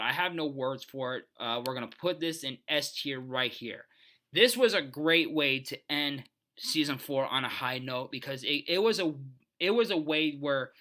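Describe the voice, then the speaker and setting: A man's voice: medium pitch, bright, forceful tone. A man giving casual, unscripted ranking commentary, rambling and emphatic as he shares his opinions. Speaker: video host.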